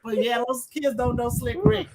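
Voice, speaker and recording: A woman's voice: on the high side, expressive, normal speed, a loud crowd. A woman co-hosting, chatting casually and colloquially and jumping in with comments. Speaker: co-host, college teacher. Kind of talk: casual, lively chat. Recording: faint background noise.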